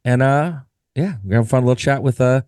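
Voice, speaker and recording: A hoarse man hosts a podcast with his voice half gone. He is mid-pitched and casual. The recording is dry and close. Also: fast, darkish tone, dry recording. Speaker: podcast host. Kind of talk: podcast hosting.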